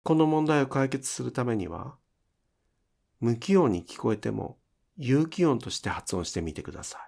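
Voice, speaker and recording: A man's voice: medium pitch, sing-song, moderate pace, gravelly, a little mellow. A man giving scripted instructional narration as a pronunciation teacher, enunciating carefully step by step.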